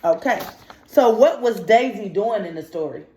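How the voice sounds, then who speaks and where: A woman's voice: medium pitch, expressive, normal speed, dark tone, slight background noise. A woman teacher reading a picture story aloud to children and prompting them with simple comprehension questions in informal speech. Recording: echoey room.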